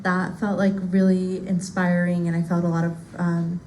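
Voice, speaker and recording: A woman's voice: lowish voice, level tone, normal speed, mellow, slight background noise, rough recording. A woman whose first language is Spanish, a poet casually answering audience questions at a bookstore reading, with lots of fillers. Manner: casual, chatty, many fillers and "like". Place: bookstore loft.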